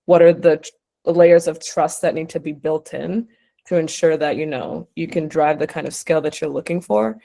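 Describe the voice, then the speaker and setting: A woman's voice: low-pitched, steady tone, normal speed, vocal fry, dark tone. A woman from Uganda, an investor giving spontaneous, conversational feedback with probing questions. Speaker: investor judging pitches.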